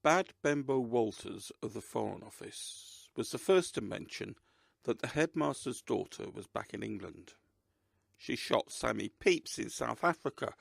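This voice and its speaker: Gravelly man reading scripted narration. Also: fairly low pitch, fairly bright tone, no room sound, clean studio sound. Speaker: presenter and narrator.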